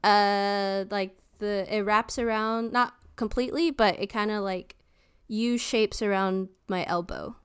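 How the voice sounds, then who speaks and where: Unhurried woman with a very smooth voice. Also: medium pitch, steady tone, no room sound, studio quality. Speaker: podcast co-host. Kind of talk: casual chat.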